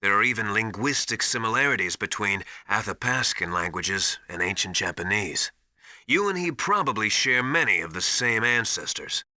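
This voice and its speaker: Video game military advisor: male, authoritative teacher type, piercingly bright, dry close sound, urgent and emphatic. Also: on the low side, animated, moderate pace, dry recording. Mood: instructive. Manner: didactic, urgent, emphatic instruction. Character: knowledgeable, commanding male military survival mentor called Master. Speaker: radio support advisor.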